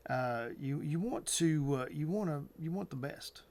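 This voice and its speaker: A man talking casually and earnestly to viewers as an advice host, in a halting, repetitive, colloquial way. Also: fairly high pitch, expressive, a little mellow.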